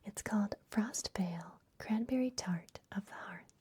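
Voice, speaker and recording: Soft whispery lady doing a gentle vampire librarian, asmr fantasy roleplay, mellow and hushed, bit of background noise. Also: mid-range voice, close to an everyday voice. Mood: cozy, instructive. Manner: gentle, hushed, confiding, unhurried.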